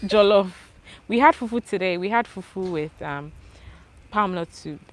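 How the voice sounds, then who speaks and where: A young woman with a mixed Ghanaian, English and Australian accent, chatting casually outdoors as she answers viewers' questions live. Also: medium pitch. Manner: chatty, relaxed, thanks viewers, spells things out slowly. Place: outdoors under a palm tree.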